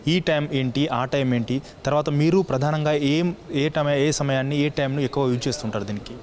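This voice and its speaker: A man's voice: a little high, fairly quick, a little mellow, a little hiss or hum. A man with an Indian accent working as a TV reporter, putting questions in an on-site interview.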